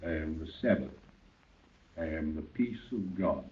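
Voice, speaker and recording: A man's voice: a bass voice, lively intonation, unhurried. An actor playing a vast godlike man, solemn and serene, with grave, stately delivery and a cavernous echo in 1930s radio drama style. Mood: hushed, serene. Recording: echoing, muffled, tinny 1930s radio recording.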